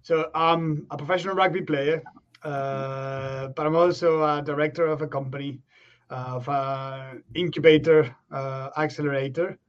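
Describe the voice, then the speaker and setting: A man, a guest on a remote interview who talks in a relaxed, reflective way with many fillers and restarts. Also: a little high, normal speed. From Argentina. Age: 30s. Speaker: guest, professional rugby player. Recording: slightly dull lo-fi call audio.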